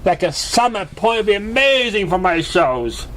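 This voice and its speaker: Boastful lady pony magician for storybook reading, high and grandiose, played by a man. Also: very animated, normal speed, a bit bright. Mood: haughty. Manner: theatrical, grandiose. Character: boastful, self-pitying female show-magician pony.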